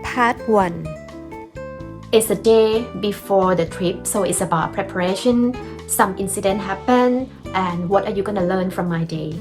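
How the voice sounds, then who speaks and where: A woman's voice: mid-range voice, unhurried, over music. A young woman with a Thai accent, teaching her language in a cheerful, chatty video lesson and often laughing. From Thailand.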